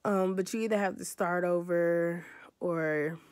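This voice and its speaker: A young American woman with a stuffy, hoarse cold voice, chatting casually and rambling in a tired way, recorded on a phone held close in her bedroom. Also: lowish voice, fairly even intonation, unhurried. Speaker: beauty vlogger. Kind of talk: casual vlog chat. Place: bedroom, indoors.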